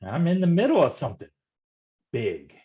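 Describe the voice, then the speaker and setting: An actor playing a hard-boiled male private detective in a noir staged reading, gruff and wisecracking in old-time gumshoe slang. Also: fairly high pitch, wildly swooping pitch, moderate pace, phone-quality sound. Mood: gruff, protective.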